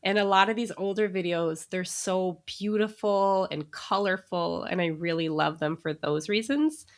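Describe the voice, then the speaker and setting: A woman's voice: fairly low pitch, measured pace. A woman co-hosting a podcast in casual chat, talking easily and laughing often between remarks. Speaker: podcast co-host.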